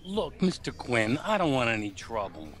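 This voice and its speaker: An actor playing an uneasy working-class chauffeur in a noir radio play, confiding in colloquial, hesitant speech. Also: male voice, medium pitch, very animated, moderate pace, a bit bright. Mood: nervous, reluctant.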